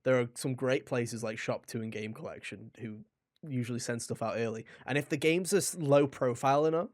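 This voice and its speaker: A man with a mid-pitched voice hosts a gaming podcast. He talks informally and wanders through his thoughts as he goes. He often speaks directly to the audience in a chatty way. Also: a little fast, darkish tone. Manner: rambling, informal, addresses listeners directly. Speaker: podcast host. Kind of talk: casual video game podcast chat.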